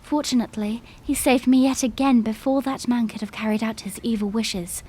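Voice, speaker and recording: A woman's voice: fairly high pitch, fairly even intonation, a little fast, mellow, breathy edge. A woman playing an earnest, dutiful young princess with formal phrasing in a fan audio drama. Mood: earnest, pondering.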